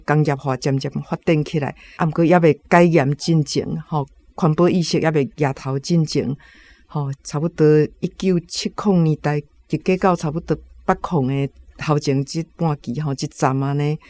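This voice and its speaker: 一位男性評論者以台語口音閒談文學背景，句尾常帶「吼」。. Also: male voice, fairly high pitch. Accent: Taiwanese Hokkien. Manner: frequent 吼 tags, relaxed. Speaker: literary commentator. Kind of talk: casual commentary.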